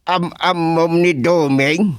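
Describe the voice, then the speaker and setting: A Filipino man playing a baffled, excitable village father-in-law in a radio comedy drama, exclaiming in drawn-out phrases. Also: fairly high pitch, clean tone, no room sound, clean studio sound. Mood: bewildered.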